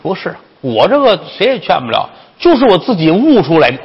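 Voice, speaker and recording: A man voicing a polite, quietly cunning young courtesan with measured, hesitant delivery in comic storytelling. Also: sits high, huge pitch swings, husky, big-room sound, highs cut off, pitched above the performer's own voice, full character voice. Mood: resigned.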